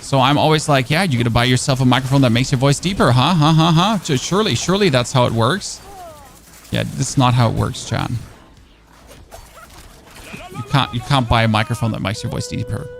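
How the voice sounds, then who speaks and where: A man's voice: medium pitch, fairly quick, gravelly, slight background noise. A man streaming a game in casual chat, rambling and answering chat questions on a dynamic studio microphone. His first language is German.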